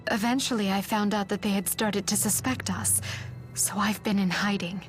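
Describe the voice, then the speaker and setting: An actress playing an earnest, wary village woman who speaks politely in a hushed way in a video game drama. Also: mid-range voice, fairly even intonation, moderate pace, faint music behind, close to an everyday voice. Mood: anxious.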